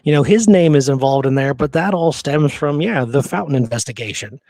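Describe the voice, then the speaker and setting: A man's voice: medium pitch, expressive, a little fast, a little mellow, no room sound. A man co-hosting a history podcast in a casual, conversational style.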